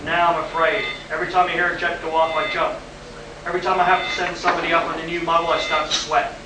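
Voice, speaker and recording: An actor in a live amateur radio play, playing a gruff, anxious, aging chief of flight control. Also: male voice, on the high side, little pitch movement, quick pace, lightly acted. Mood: weary, guilty. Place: live stage at a convention. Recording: distant, echoey live hall recording with noise.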